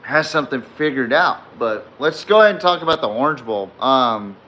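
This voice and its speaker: A man hosting a late-night sports podcast with a slightly hoarse voice, rambling with many fillers while reading and answering chat comments. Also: medium pitch, expressive, brisk, pushed and bright, muffled recording. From Texas fan, not necessarily from Texas. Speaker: podcast host. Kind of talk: live-streamed sports podcast recap.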